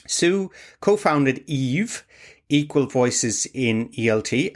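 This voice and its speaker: A man hosts an interview podcast. His voice is of middling pitch, and his delivery is friendly. He stumbles a little when his questions are unscripted. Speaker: podcast host.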